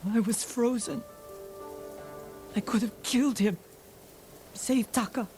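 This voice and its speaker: A woman playing a grim, wounded thief bent on revenge in a dramatic video game, terse and weighty, with a low voice. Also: fairly even intonation, slow, dark tone. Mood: pained, confessing. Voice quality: low-pitched voice. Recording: music behind the voice.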